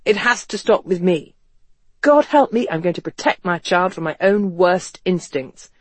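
A man voicing a wry, emphatic upper-class English gentleman in an audiobook of a period novel. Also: high-pitched, expressive, normal speed, no room sound. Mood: fierce resolve. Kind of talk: audiobook character dialogue.

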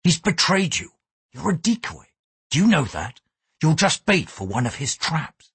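Man voicing a blunt english ship pilot, slow probing questions, husky, dry close mic. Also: a little high, sing-song, a bit bright, no echo, voice pushed up, full character voice. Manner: archaic thee-and-thou speech, measured and probing. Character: blunt English sea pilot, man in his prime, wary.

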